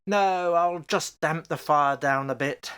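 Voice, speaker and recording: A man playing an anxious, excitable older father in an amateur radio horror play, with a theatrical, halting delivery. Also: fairly high pitch, smooth. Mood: easygoing, grumbling.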